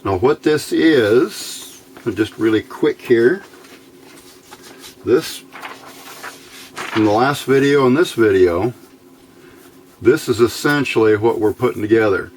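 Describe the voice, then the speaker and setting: A man giving an unscripted hands-on technical tutorial in a matter-of-fact, halting, step-by-step way. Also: mid-range voice, rough recording. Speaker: host of an electronics build tutorial. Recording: clear background noise, room ambience.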